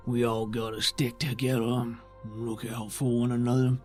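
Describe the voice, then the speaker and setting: A man playing a weary, protective, tentacled creature in a tabletop roleplay, with halting, folksy, plainspoken speech. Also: medium pitch, little pitch movement, a little mellow, soft background music, lightly acted. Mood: troubled, regretful.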